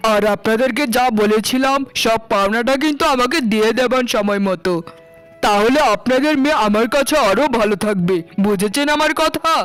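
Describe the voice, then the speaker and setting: Arrogant groom, greedy landlord's son played by a man, high smooth voice, brusque cartoon delivery, light music behind. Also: fairly quick, fairly bright tone, dry recording, rough recording. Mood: smug. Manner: brusque, boastful. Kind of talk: melodramatic cartoon dubbing.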